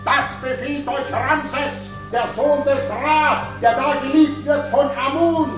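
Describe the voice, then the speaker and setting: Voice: high voice, steady tone, slow. An actor playing a majestic pharaoh who proclaims divine authority in a German radio drama, solemn, imperious and declamatory. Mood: majestic command. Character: majestic cloned pharaoh proclaiming himself a god. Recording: far, very echoey, music behind.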